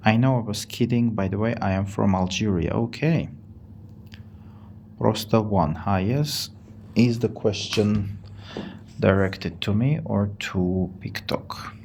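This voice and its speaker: A man with an Armenian accent teaching English on a live stream. He talks in a casual way, reads the chat aloud, and repeats and rephrases words slowly for learners. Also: lowish voice, gravelly, a little mellow, slight background noise.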